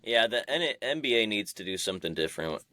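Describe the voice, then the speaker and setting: Excitable male co-host, bright forceful tone, dry close sound. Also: lowish voice, quick pace, no echo. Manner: chatty, excitable, loose. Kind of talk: casual podcast chat.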